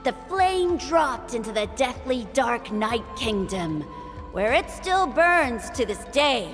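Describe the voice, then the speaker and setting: A bold young child from a video game who speaks like a dramatic storyteller. The voice is high and takes its time, insistent in manner, in a long reverb with faint music. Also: female voice, very animated, takes it slowly. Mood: dramatic storytelling. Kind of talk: video game character acting.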